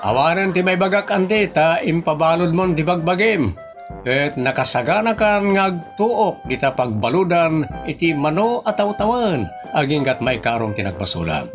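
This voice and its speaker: A man's voice: fairly high pitch, very animated, normal speed, over music. A man with an Ilocano accent playing a contrite, pleading debtor in an audio comedy-drama, his voice strained. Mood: aggrieved, pleading.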